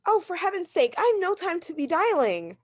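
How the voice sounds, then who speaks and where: A woman's voice: extremely high pitch, very animated, fairly quick, darkish tone. An actress playing a neurotic, bedridden woman who is agitated, pleading and self-pitying, in a 1940s radio thriller style. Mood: frantic, indignant. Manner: agitated, pleading, breaking into outbursts.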